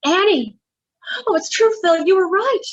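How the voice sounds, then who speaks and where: A woman's voice: very high voice, animated, a little slow, no room sound, muffled recording. An actress playing a sassy, scheming woman with a mouthy, sarcastic manner in 1940s radio detective style. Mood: alarmed. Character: sassy, scheming, jaded female double-crosser.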